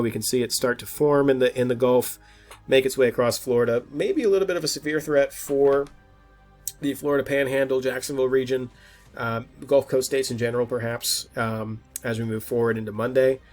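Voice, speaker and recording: A man with a slightly stuffed-up voice presenting an informal weather forecast as host, often halting and restarting as he thinks aloud. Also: mid-range voice, steady tone, faint music behind.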